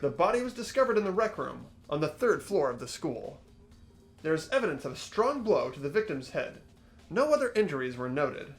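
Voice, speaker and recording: A man's voice: a little high, sing-song, a little fast, faint music behind. A man voicing an earnest, anxious, determined young man in a fan-voiced visual novel game. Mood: reading carefully. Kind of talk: amateur voice acting and narration of a visual novel game.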